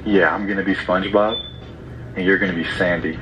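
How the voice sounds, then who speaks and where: A man's voice: low-pitched, steady tone, brisk. A young man pranking with a staged phone call, talking in a brazen, casual, slangy way. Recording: dull lo-fi playback with music behind. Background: music.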